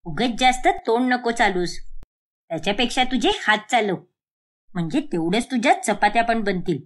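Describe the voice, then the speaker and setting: A woman playing a scolding, exasperated middle-aged mother in an animated moral story, with a sharp, nagging manner. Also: on the high side, moderate pace, natural voice.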